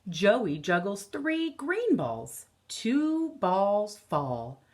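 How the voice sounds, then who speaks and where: Very slow-paced woman reading to children. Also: mid-range voice, wildly swooping pitch, a little mellow. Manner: deliberate, explanatory, counting out and repeating key phrases. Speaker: elementary school teacher. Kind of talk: picture-book read-aloud lesson for children. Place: living or dining room at home, indoors.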